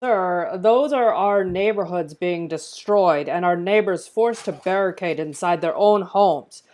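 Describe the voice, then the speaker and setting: A woman's voice: on the low side, unhurried, a little mellow, natural voice. A woman acting a defiant, sarcastic police officer in a screenplay table read, with a blunt delivery. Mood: urgent, defiant. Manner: sardonic, blunt.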